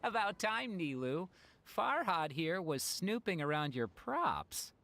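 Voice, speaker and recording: A woman's voice: lowish voice, sing-song, takes it slowly, fairly bright tone, a little hiss or hum, heavily acted. A woman voicing a playful, outgoing friend in a video game, with a teasing, breezy manner. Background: game music.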